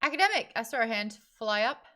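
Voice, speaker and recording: A woman's voice: a little high, animated, brisk, pushed and bright. A woman hosting a casual live book club, chatting and reading out viewer comments.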